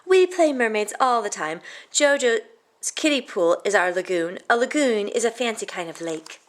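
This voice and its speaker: Woman, high voice, storybook read-aloud, expressive, animated delivery. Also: moderate pace, slight background noise. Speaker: storybook reader. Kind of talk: expressive children's picture-book read-aloud.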